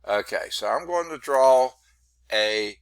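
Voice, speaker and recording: A hoarse, congested man teaching a math class over a video call, narrating his screen setup in a rambling, self-deprecating way. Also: mid-range voice, lively intonation, slow, no room sound. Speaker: math instructor. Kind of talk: online class lecture. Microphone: computer mic on a video call.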